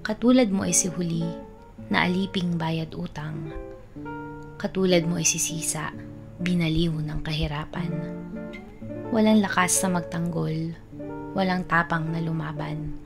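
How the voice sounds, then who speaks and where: Low-voiced Filipino woman reciting over guitar music. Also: fairly even intonation, a little slow, vocal fry, long pauses. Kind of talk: poetry recitation. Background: plucked guitar music.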